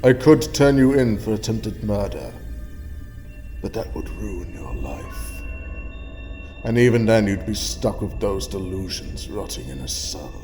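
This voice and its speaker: An actor playing a stern, scornful alien time-traveller who lectures in a measured way in an audio drama. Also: male voice, on the low side, expressive, warm tone, faint music behind. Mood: grave, resigned. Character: cold, stern alien time-traveller, adult man, wearily righteous.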